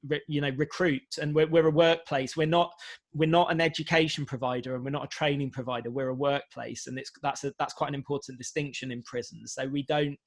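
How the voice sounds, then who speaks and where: A man's voice: medium pitch, fairly even intonation, a little fast, dry recording. A man speaking on a panel for a radio organisation, explaining hesitantly and often repeating words. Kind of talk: spontaneous panel talk.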